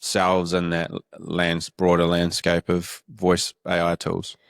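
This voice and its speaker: Deep monotone New Zealand man. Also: takes it slowly, gravelly, no echo, studio quality. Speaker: podcast host. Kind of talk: interview questions, conversational.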